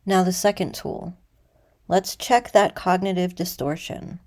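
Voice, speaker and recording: A woman leads a guided meditation in a soft-edged, very mellow voice. Her register sits a little low, with a gravelly creak. She gives instructions deliberately, leaving space between phrases. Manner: measured, deliberate, with pauses.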